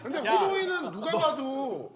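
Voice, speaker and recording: A man's voice: very high voice, sing-song, normal speed. A man reacting and chatting as a panelist on a TV talk show. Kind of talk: casual chat, reacting.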